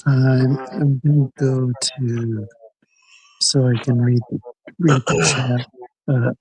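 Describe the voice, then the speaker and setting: A man from Canada chatting casually as a livestream co-host, halting and repeating his words. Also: medium pitch, fairly even intonation, a little mellow, rough recording. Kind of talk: casual chat.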